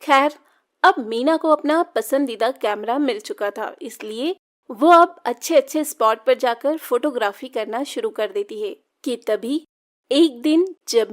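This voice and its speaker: A woman narrating a scripted children's story as the storyteller. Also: a little high.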